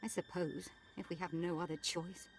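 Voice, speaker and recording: A woman's voice: fairly low pitch, steady tone, moderate pace, gravelly, a little hiss or hum, low-quality recording. A woman playing a proud, protective middle-aged noblewoman in a video game, speaking in a formal, dramatic and disdainful manner. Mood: worried, hesitant. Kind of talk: video game cutscene acting.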